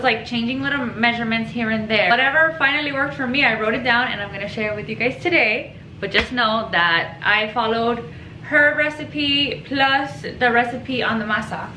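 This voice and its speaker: A woman's voice: a little high, pushed and bright. A young woman whose first language is Spanish, rambling casually and informally while making a home cooking video in her kitchen. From Mexico. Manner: rambling, informal, self-deprecating. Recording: echoey kitchen with background noise.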